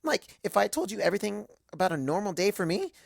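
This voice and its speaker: A woman's voice: mid-range voice, very animated, quick pace, creaky, dry recording, clean studio sound, voice pushed up. A woman voicing a hyper, cheerful, scatterbrained cartoon pony who rambles gossipy first-person fan fiction narration. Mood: chatty, rambling. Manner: bubbly, rambling, gossipy, exclamatory.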